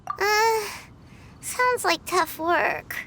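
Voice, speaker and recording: An actress voicing a small, curious fairy companion in a video game, talking in a squeaky, questioning way. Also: extremely slow, pushed and bright, slight background noise, exaggerated voice. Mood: puzzled.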